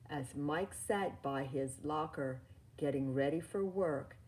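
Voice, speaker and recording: A low-pitched woman narrates a children's picture book at a slow pace. Her delivery is deliberate and a little halting, with faint noise behind her in a home-style recording. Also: a little mellow. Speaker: storybook reader. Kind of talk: read-aloud children's story narration.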